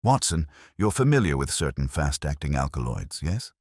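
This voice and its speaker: Guy doing a dry clipped detective for an audiobook, super deep, bit husky. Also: sing-song, moderate pace, dry recording, full character voice. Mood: incisive. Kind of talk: audiobook character dialogue.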